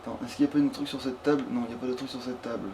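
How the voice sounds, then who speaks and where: A man's voice: mid-range voice, steady tone, very fast, background noise. A man giving casual, rambling let's play commentary peppered with swearing. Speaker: let's player.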